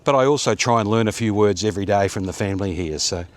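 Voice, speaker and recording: A man's voice: on the low side, quick pace. A man in his fifties vlogging while he walks down a suburban street, chatting casually with lots of fillers, recorded on a camera held at arm's length. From Australia. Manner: rambling, chatty, with many fillers. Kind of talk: walk-and-talk vlog. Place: quiet suburban street outdoors, sunny. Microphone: phone or action camera held at arm's length.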